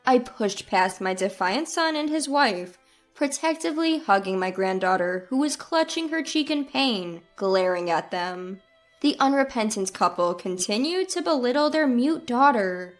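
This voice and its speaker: A woman's voice: fairly high pitch, animated, a little slow. A woman reading a scripted first-person story as its narrator. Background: background music.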